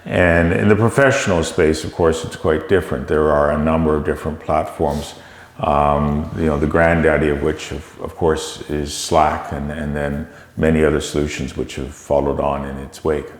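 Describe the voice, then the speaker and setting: Very deep-voiced Canadian man. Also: little pitch movement. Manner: measured, explanatory, with frequent fillers and restarts. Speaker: tech company CEO guest. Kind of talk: conversational interview answers. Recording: echoey room with long reverb.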